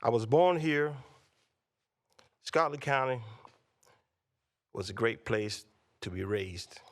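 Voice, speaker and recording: A man, introducing himself as a candidate at a local forum in a measured, plainspoken way. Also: mid-range voice, expressive. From North Carolina, USA. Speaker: candidate for county commissioner.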